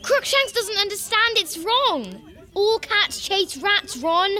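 A woman's voice: extremely high pitch, very animated, slow, pushed and bright, voice pushed up, full character voice. A woman voicing an earnest, logical teenage schoolgirl with a prim manner. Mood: defensive. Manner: earnest, reasoning, a bit prim.

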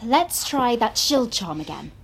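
A brisk teenage schoolgirl witch, acted by a woman, high and very animated in pitch. She is bossy and urgent, in audiobook drama style, with clear background noise. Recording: clearly noisy background ambience.

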